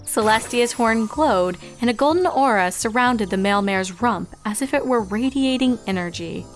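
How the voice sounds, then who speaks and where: Female story narrator, higher-pitched, scripted fan fiction reading with light music underneath. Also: expressive, moderate pace.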